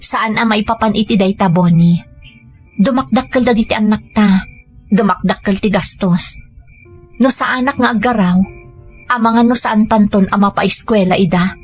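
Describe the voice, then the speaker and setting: A woman's voice: mid-range voice, moderate pace, long pauses, husky, faint music behind, natural voice. A woman with an Ilocano accent playing a humble, determined married daughter and mother in an audio drama. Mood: earnest, reassuring.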